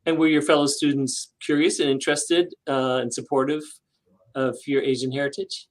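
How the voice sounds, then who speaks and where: A woman hosting a talk show, asking questions in an encouraging, halting, conversational manner. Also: rumbling low, steady tone. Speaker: talk show host interviewer. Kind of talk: unhurried conversational interviewing.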